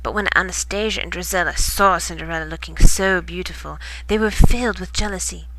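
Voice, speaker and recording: A woman reading a children's bedtime story in expressive storybook narration. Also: fairly low pitch, brisk, noisy background.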